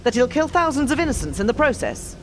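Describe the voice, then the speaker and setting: A woman's voice: high-pitched, very animated, quick pace, faint music behind. A woman playing a serious, resolute resistance leader, giving earnest, authoritative mission briefings in a fantasy game. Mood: grave.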